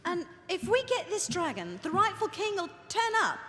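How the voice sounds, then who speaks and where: A woman's voice: very high voice, wildly swooping pitch, normal speed, a bit bright, full character voice. A woman playing a naive, confused member of a secret brotherhood, doubtful and questioning, in live radio theatre. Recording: reverberant hall, live stage performance.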